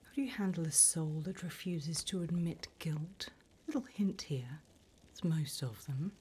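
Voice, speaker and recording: A woman playing a wickedly amused, businesslike female demon interviewer in a breathy, whispered ASMR roleplay. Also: low-pitched, a little slow, dark tone, slight background noise.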